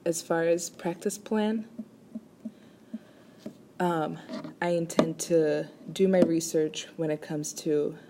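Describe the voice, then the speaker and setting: A woman's voice: sits low, steady tone, slow pace, long pauses, background noise. A woman from Rwanda presents a class assignment as a chiropractic student, speaking hesitantly with many ums and restarts.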